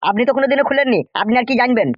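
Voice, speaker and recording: A man's voice: extremely high pitch, rapid-fire, dry recording, dull audio. A man voicing a crude, fawning, chattering henchman loyal to a crooked boss in a comedy cartoon. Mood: defensive. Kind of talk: animated comedy cartoon dialogue.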